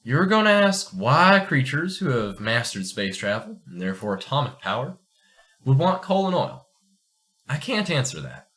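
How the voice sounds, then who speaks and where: A man's voice: mid-range voice, very animated, normal speed, fairly bright tone. A man playing an earnest, strict astronomer in an amateur radio play, speaking formally and emphatically. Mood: frank. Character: earnest, agitated astronomer pleading for change, fatherly and strict.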